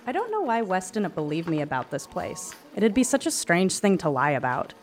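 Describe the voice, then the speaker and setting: A woman's voice: on the low side, big pitch swings, normal speed, soft background music. A woman voicing a dry, sardonic young woman in an audio drama. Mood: wry.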